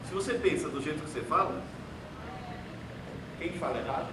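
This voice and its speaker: A high-voiced man lectures an auditorium audience in a theatrical, sarcastic, emphatic way, and Portuguese is not his first language. He is picked up from far off in a roomy hall. The recording carries clear background noise. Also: fairly quick. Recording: echoey hall, distant mic, noisy.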